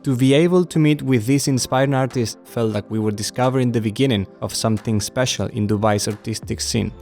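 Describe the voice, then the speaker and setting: A man's voice: mid-range voice, a little fast, darkish tone, soft background music. A man presenting and reading scripted narration for a travel documentary. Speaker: presenter, architect and photographer.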